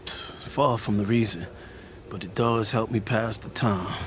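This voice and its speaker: A man's voice: fairly low pitch, a little slow, a little hiss or hum, lightly acted. A man with an American accent playing a guarded, protective older brother behind bars in an audio drama, talking bluntly. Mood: guarded, subdued. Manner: blunt, colloquial.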